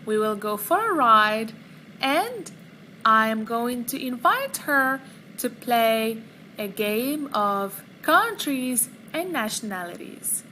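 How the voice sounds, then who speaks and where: A woman teaching beginner English, speaking slowly and clearly with careful, drawn-out words. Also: a little high, big pitch swings, pushed and bright, slight background noise, low-quality recording. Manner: slow, deliberate, over-enunciated teaching delivery. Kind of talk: slow scripted lesson narration.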